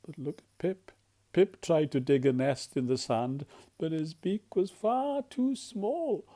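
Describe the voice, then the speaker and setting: A storyteller reading a children's picture book expressively, asking the listener questions and often dropping to hushed tones. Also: medium pitch, takes it slowly. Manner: animated, dramatic, often drops to hushed tones. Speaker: volunteer storyteller.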